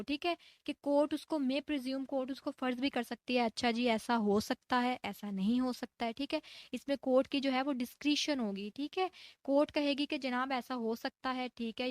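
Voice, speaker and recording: Female law lecturer, higher-pitched, dry close sound; didactic, question-and-answer explaining style. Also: no room sound. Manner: didactic, asks and answers own questions, frequent check-in tags. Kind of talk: explanatory lecture reading out legal definitions and explaining them.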